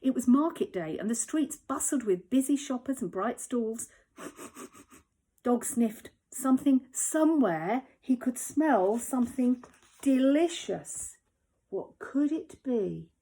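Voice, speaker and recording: A primary school teacher reading a children's story aloud at home, expressive, dropping to whispers for the suspenseful parts. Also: female voice, a little high, takes it slowly.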